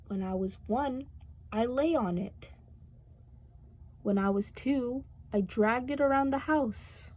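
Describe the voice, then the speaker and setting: A woman's voice: on the high side, slow pace, pauses often, darkish tone. A woman voicing a firm but caring mother in a children's storybook, motherly and emphatic. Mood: gentle, reminiscing.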